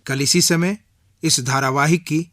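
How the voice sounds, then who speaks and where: Slow, deliberate male radio announcer. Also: a little high, fairly even intonation, fairly bright tone, no room sound, studio quality. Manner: formal, deliberate. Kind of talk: scripted programme credits announcement.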